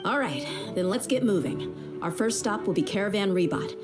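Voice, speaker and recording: A woman's voice: medium pitch, lively intonation, moderate pace, close to an everyday voice. A woman playing a tough, easygoing young mercenary in a video game, frank and self-assured. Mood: reassuring, confident. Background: background music.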